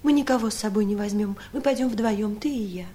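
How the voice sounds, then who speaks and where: An actress playing a gentle, devoted young niece in a radio drama, soft, earnest and soothing. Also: female voice, mid-range voice, brisk, close to an everyday voice. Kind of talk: 1970s radio drama acting. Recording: noisy vintage radio play recording.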